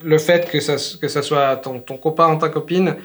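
A man in his thirties with an Italian accent, speaking as a guest in a casual interview. His voice sits a bit higher than most men's. He talks loosely, stopping and restarting, with frequent fillers. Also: moderate pace. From Italy. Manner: relaxed, rambling, many fillers and restarts. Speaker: guest, language teacher.

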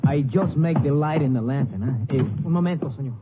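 Actor as a nervous, stammering Mexican shack dweller. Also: male voice, mid-range voice, brisk, loud music behind the voice, lightly acted. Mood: nervously polite. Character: frightened, submissive Mexican man living alone in shack. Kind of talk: 1950s western radio drama acting. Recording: tinny, faintly noisy old radio recording.

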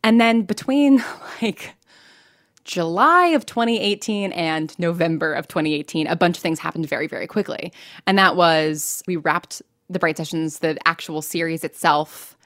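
A chatty American woman speaks as an interview guest in a slightly low voice. She tells stories casually and pokes fun at herself, recorded very dry. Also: moderate pace, fairly bright tone, no echo. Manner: chatty, self-deprecating, quotes own thoughts. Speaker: interview guest, podcast writer and producer.

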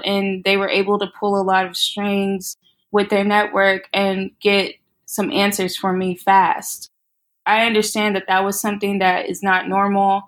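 A woman in her thirties from Texas, calmly recounting her story as a podcast guest. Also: medium pitch. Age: 30s. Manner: calm, measured, matter-of-fact. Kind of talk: interview storytelling.

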